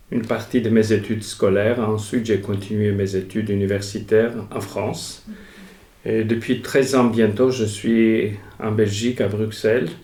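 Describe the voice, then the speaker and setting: A middle-aged man, a priest answering interview questions in a measured, reflective way. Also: fairly low pitch, darkish tone, roomy sound. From Armenia.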